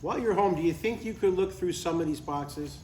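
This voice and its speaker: A man's voice: high-pitched, fairly quick, darkish tone, natural voice. Stubborn older dad, a little distant, man acting. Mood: stubborn, matter-of-fact. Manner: matter-of-fact, stubborn. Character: Dad, retired widowed father, stubborn but affectionate. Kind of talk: live comedy table read, naturalistic dialogue. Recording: roomy, distant live recording, faint noise.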